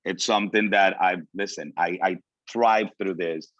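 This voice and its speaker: A man of thirty-eight from Venezuela with a lowish voice, speaking as a guest to young people. His native language is Spanish, and he drives his points home by repeating them. The sound is close and dry but somewhat muffled. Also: steady tone, moderate pace, fairly bright tone, dry recording. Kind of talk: informal motivational talk to students. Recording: dull, lo-fi audio.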